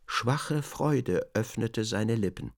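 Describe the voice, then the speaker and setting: A man narrating a literary radio drama from a script in a measured, deliberate manner. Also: fairly low pitch, lively intonation, gravelly.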